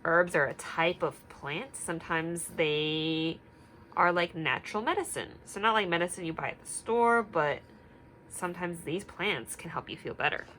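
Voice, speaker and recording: Expressive, bright-voiced woman reading to children. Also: fairly low pitch, normal speed, slight background noise, poor audio. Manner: expressive, simple explanations for young children. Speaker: teacher reading aloud. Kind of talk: children's read-aloud with questions to listeners.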